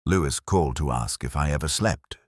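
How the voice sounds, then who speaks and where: A man playing a weary, introspective police detective, narrating a crime drama in the first person with reflective, literary, measured phrasing. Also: very deep voice, husky, no room sound, clean studio sound. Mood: brooding, reflective.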